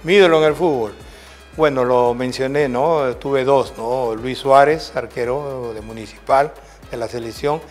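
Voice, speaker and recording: A man's voice: mid-range voice, unhurried, faint music behind. An older man with a Peruvian Spanish accent, a former football coach telling rambling anecdotes in an interview, often ending with a questioning "right?". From Peru. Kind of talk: storytelling interview.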